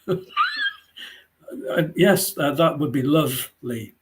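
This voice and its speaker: A man's voice: on the high side, huge pitch swings, extremely slow, a bit bright. Chuckling man swapping puns. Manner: amused, chuckling, hesitant with fillers. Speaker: pun contest competitor. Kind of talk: quick-fire pun banter.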